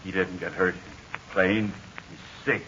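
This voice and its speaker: A worn-out stranded pilot speaks haltingly in a 1940 radio serial. His voice sits low and tired. The transcription is muffled with faint hiss. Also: fairly even intonation, bright, forceful tone, full character voice. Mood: weary. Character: weak stranded adult male pilot, major. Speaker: Major Steele. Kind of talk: 1940s radio acting.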